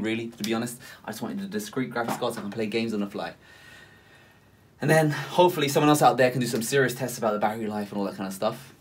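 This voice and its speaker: A man's voice: medium pitch, slight background noise. A young man doing a casual tech vlog at his home desk, talking straight to the camera with chatty enthusiasm. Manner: chatty, gets loud and giddy with excitement. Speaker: tech reviewer. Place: home desk room with monitors, indoors.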